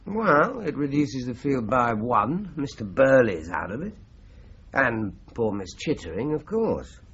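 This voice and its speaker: A thoughtful police inspector in a 1980s radio drama. He weighs things slowly, with wide swings of intonation. The sound is slightly muffled and hissy. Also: mid-range voice, big pitch swings, fairly bright tone. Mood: musing. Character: pondering, deliberate male police inspector. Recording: dull, noisy 1980s radio recording.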